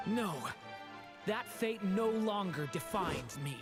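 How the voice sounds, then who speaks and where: Youthful dragon boy game hero, heartfelt and determined, expressive, big music behind. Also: mid-range voice, takes it slowly. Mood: resolute. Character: earnest, resolute young male dragon-boy hero. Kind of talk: anime-style video game acting. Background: loud game music.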